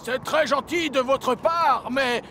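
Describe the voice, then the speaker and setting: Un homme interprète une grosse locomotive costaude, ferme mais bienveillante, qui parle d'un ton protecteur et pragmatique. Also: male voice, very high-pitched, slow pace, sharp tone, a little hiss or hum, full character voice. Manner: protective, matter-of-fact. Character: big sturdy male locomotive, kindly but firm. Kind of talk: French cartoon dub.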